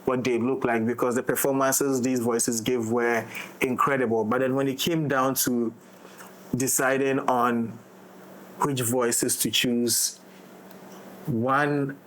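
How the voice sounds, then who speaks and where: A man speaks in a casual roundtable discussion. His pitch sits in the middle of the male range. He talks reflectively as a director, often repeating and rephrasing as he goes. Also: flat delivery, slow pace, fairly bright tone, poor audio. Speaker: audio drama director.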